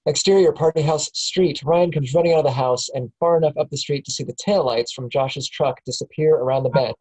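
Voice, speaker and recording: Mellow woman reading stage directions. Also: low voice, brisk, slightly rough, poor audio. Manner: laughs often while reading. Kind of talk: table read narration.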